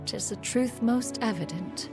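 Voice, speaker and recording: A woman's voice: fairly high pitch, measured pace, music in the background, close to an everyday voice. A woman playing an ancient, proud crane immortal in a video game, speaking with formal, archaic, haughty diction. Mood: fond, stately. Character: ancient, proud crane immortal master, stern yet fond. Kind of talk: dramatic game voice acting.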